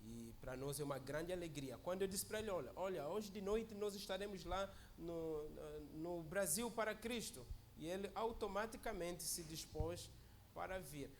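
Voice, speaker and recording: High-voiced man preaching to a church. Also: moderate pace, a little hiss or hum. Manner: chatty and joking, often asks "né?" and calls for amens. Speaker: guest preacher. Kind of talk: informal church sermon. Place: church service.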